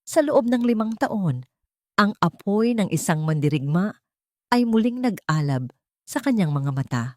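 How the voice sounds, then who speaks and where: A woman's voice: medium pitch, very animated, measured pace, no room sound. A woman reading a scripted story as a dramatic narrator.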